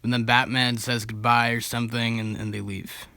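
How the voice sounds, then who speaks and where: A man's voice: medium pitch, little pitch movement, normal speed, pushed and bright, no room sound. A young man giving casual reaction commentary as a video host, in an informal, amused and self-deprecating way.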